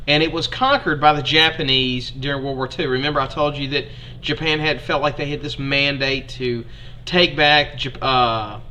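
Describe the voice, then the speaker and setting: A man's voice: medium pitch, fairly even intonation, moderate pace, sharp tone, a little hiss or hum. A young man with an Alabama accent teaching a history lesson in a chatty, folksy way to his students.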